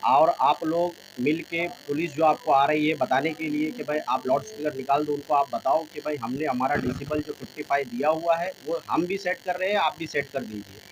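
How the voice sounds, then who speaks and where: A man's voice: on the high side, brisk, few pauses, noisy background. A man with a Mumbai Hindi accent giving an interview statement, appealing insistently and repeating his points. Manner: insistent, repetitive. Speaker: local mosque representative being interviewed.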